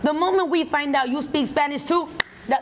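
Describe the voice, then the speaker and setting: A woman with a fairly high voice speaks out with firm emphasis, a singer and activist talking on a panel. A faint hiss sits under her voice. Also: fairly quick. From Latin American community, Miami. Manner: outspoken, emphatic. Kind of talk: panel conversation.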